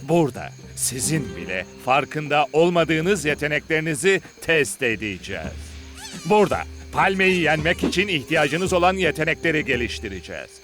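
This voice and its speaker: A man's voice: a little high, very animated, moderate pace, fairly bright tone, soft background music. A man voicing a middle-aged, reclusive former football star turned demanding trainer in a cartoon dub, with a stern, emphatic delivery. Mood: instructing.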